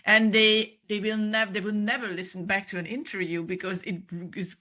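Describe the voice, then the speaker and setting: A woman with a Hungarian accent speaks as a guest on a podcast. She is earnest and encouraging, sometimes restarting her words. Her voice is bright, at a middle pitch. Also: flat delivery, moderate pace. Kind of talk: casual interview chat.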